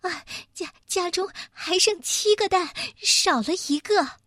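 A woman's voice: extremely high pitch, animated, unhurried, fairly bright tone, dry recording, clean studio sound, voice pushed up, exaggerated voice. A woman voicing a flustered mother hen who stammers out her words. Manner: stammering, breathless rush.

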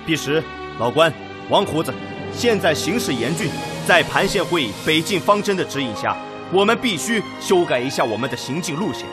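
An actor playing a resolute, emphatic wartime Red Army general in a radio drama. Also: male voice, fairly high pitch, animated, moderate pace, music in the background, lightly acted. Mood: measured, decisive. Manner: emphatic, repeats orders loudly. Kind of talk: Chinese radio drama acting.

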